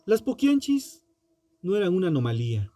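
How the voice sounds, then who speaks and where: A man narrating a scripted true crime story with dramatic, deliberate phrasing and pointed pauses. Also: high-pitched, wildly swooping pitch, mellow. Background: music under the voice.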